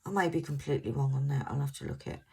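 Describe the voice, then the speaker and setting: A woman in her fifties, originally from Scotland, with a soft voice and a mixed English accent, chatting to viewers in a casual, rambling way and sometimes stumbling over words. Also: rumbling low, rapid-fire, breathy edge. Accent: English, mixed southern. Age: 50s. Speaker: crafting vlogger.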